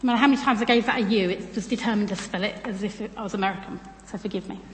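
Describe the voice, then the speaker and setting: A woman's voice: mid-range voice, very fast, a little hiss or hum. A British woman giving an informal, chatty church talk in a self-deprecating way. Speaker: church speaker presenting on baptism. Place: large church room, speaking to a congregation. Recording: echoey hall with long reverb.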